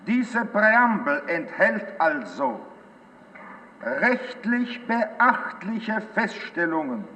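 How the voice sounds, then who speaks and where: A male professor-politician declaims at a very slow pace in a high voice. His formal, weighty lecture carries through a long reverberant hall. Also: a bit bright, full character voice. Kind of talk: formal explanatory speech.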